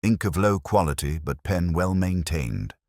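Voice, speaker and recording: A man's voice: low-pitched, lively intonation, measured pace, darkish tone, no echo, clean studio sound, pitched above the performer's own voice. A man voicing an incisive, cool-headed detective in an audiobook story, speaking in precise, theatrical pronouncements. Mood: keen, analytical. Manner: precise, declarative, aphoristic.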